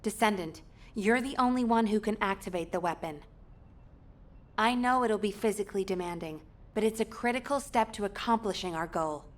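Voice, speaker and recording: Woman playing a composed, serious-minded game mission planner, mid pitched briefing voice. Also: moderate pace, lightly acted. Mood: serious, urging. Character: composed, earnest female mission strategist. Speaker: mission operator. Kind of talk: video game voice acting.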